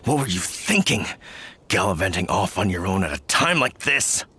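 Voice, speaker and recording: Game villager, deep-voiced, flustered and scolding, music behind. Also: male voice, sing-song, normal speed, bright, forceful tone, a little hiss or hum, full character voice. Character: worried village man, stern toward a runaway boy.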